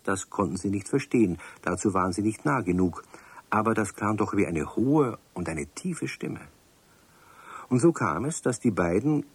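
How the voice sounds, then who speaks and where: A man's voice: on the low side, breathy edge, dry recording. A man narrating a children's radio play, speaking directly to young listeners. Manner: warm storytelling, addresses young listeners. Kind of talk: scripted storybook narration.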